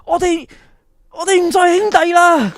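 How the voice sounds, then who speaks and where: A woman's voice: very high-pitched, normal speed, slight background noise. A woman voicing a shy, awkward, lovesick schoolboy with a Hong Kong Cantonese accent in an audio drama. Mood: hurt, accusing. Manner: stammering, hesitant when flustered.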